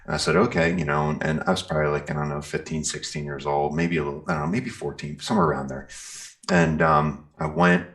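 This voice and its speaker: A man's voice: a bass voice, quick pace, creaky, fairly bright tone. A middle-aged man being interviewed, telling stories in an informal, rambling, conversational way. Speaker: interview guest, metal singer and guitarist. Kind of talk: casual conversational storytelling.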